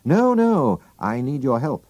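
A man voicing a calm, clever male detective who talks briskly as he reasons. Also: mid-range voice, no room sound, muffled recording, voice pushed up. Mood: cool, knowing. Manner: brisk, deducing, lively.

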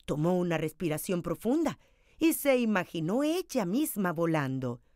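Lively woman reading a children's story. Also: lowish voice, normal speed, dry recording, clean studio sound. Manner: expressive, dramatized storytelling delivery. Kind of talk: children's picture book read-aloud.

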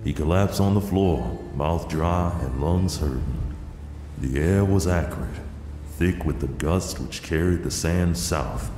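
A man's voice: very deep voice, takes it slowly, background noise. A man narrating an audio drama as a grave, solemn storyteller of a western tale. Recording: echoey long reverb under loud music. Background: music.

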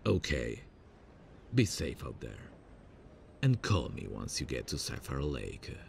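A man playing a worried, protective big brother in an audio roleplay, speaking haltingly. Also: a bass voice, very animated, creaky, slight background noise, pitched below the performer's own voice, full character voice. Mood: worried, caring. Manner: hesitant, halting with many pauses.

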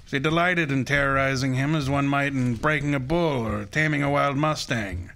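An actor playing a shrewd, commanding private detective with formal, precise diction in an old-time radio drama. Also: male voice, medium pitch, moderate pace, gravelly, a bit bright. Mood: grave, measured. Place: live stage before an audience.

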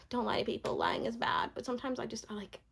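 A young woman, born in Uganda, telling a personal story in a casual, animated way, laughing often and acting out what others said. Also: on the high side, brisk, slight background noise. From born in Uganda, raised mostly in the US. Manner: animated, laughs often, acts out quoted speech. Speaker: vlogger.

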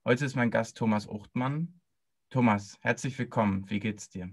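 A man's voice: mid-range voice, moderate pace. A man hosting an interview podcast, welcoming his guest and asking short questions. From Münster area, Germany. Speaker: podcast host.

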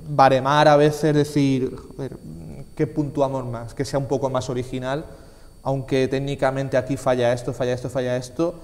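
A man's voice: medium pitch, little pitch movement, normal speed, darkish tone. A man in his twenties with an accent from Spain, answering casually as an interview guest in an emphatic, repetitive way. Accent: Spanish from Spain. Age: 20s. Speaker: interview guest, film festival organiser. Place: small indoor TV studio, seated at a desk. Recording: reverberant room.

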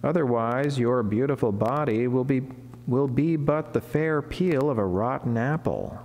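A middle-aged man, a friar, reads a devotional sermon in a formal, measured way with deliberate pauses, speaking at a lectern microphone in a chapel. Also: mid-range voice, a little mellow. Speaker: Franciscan friar giving a daily saint reflection. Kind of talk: solemn read sermon, devotional reading. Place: wood-panelled chapel, indoors, at a lectern. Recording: long church reverb, clear background noise, hum.

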